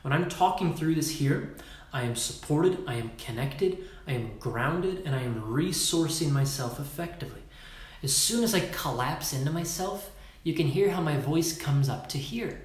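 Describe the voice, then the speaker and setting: A man's voice: fairly high pitch, animated, moderate pace, roomy sound. A man leading a Facebook Live talk as a workshop leader, emphatic and casual, swearing now and then and acting out postures as he explains.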